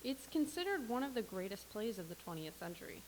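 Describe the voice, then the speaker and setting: An actress playing a young, dry-witted barista who answers with deadpan sarcasm. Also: female voice, mid-range voice, quick pace, poor audio. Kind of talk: staged reading of a comedy play. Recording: faint hiss.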